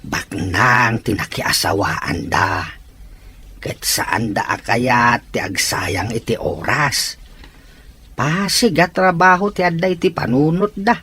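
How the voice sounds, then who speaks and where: Slow-spoken gentle old grandfather, acted by a man. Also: medium pitch, slight background noise. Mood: wistful, resigned. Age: older. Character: gentle, doting elderly grandparent in a rural household. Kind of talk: Ilocano audio drama dialogue.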